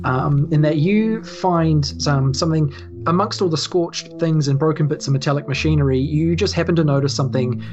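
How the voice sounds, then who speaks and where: Kiwi woman running a tabletop rpg, chatty and halting, bit dull sound with music behind. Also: low voice, warm tone. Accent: New Zealand. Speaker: game master of a tabletop RPG podcast. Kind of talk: casual narration of a tabletop game. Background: background music.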